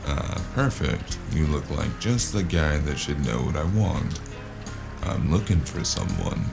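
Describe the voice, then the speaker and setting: A man's voice: very deep voice, animated, measured pace, creaky, full character voice. A man playing a weary, sardonic investigator who mutters dryly in a noir audio drama. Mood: dry, businesslike. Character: weary, sardonic male noir investigator shaking down street dealers. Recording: music behind the voice.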